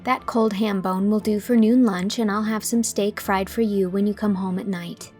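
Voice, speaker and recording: A woman voicing a gentle, earnest teenage girl in an audiobook, composed and kindly. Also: mid-range voice, steady tone, moderate pace, faint music behind, natural voice. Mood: practical. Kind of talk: audiobook character reading.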